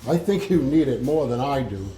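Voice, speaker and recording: Man reading as kind little child, mellow, echoey. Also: mid-range voice, animated, normal speed, soft background music, natural voice. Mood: kindly, helpful. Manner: friendly, questioning, gently helpful. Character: small, kind, curious child in a storybook. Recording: echoey room.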